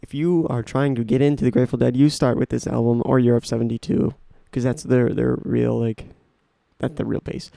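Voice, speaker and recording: Gravelly young man rambling on a podcast. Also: medium pitch, fairly even intonation, fairly quick, mellow, no room sound. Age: young adult. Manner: rambling, enthusiastic, restarts often. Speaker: podcast host. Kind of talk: casual solo talk about music.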